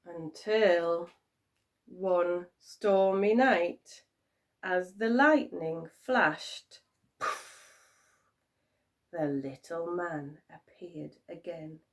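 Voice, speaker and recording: A woman teacher reading a story to young children in an expressive, dramatic storytelling style. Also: lowish voice, very slow, pauses often, far from the mic.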